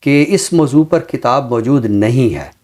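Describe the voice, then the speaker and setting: Male literary scholar, Pakistani accent, mid-pitched voice, formal and explanatory talk-show discussion. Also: animated, unhurried, a little mellow.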